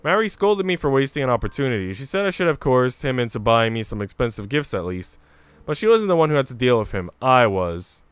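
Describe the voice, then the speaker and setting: Man voicing a clear, earnest, wounded young maid. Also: medium pitch, very animated, a little fast, no echo, close to an everyday voice. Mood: upset, defensive. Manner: earnest, formal wording. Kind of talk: visual novel read aloud.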